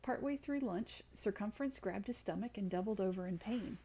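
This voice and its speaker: A woman's voice: medium pitch, a little fast, slightly rough, dull audio. A woman reading a children's storybook aloud as a storytime reader.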